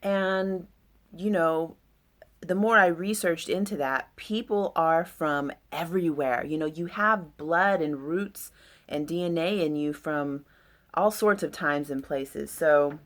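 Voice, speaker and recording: A woman with a deep voice talking about astrology in a loose, rambling, reflective way with many fillers. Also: slow. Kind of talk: casual astrology talk.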